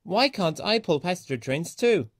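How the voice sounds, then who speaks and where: Man voicing an excitable, high-pitched boastful young tank engine. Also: moderate pace, no echo, studio quality. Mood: grumbling. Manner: fussy, grumbling, excitable. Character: impatient, boastful young male tank engine, eager and self-important.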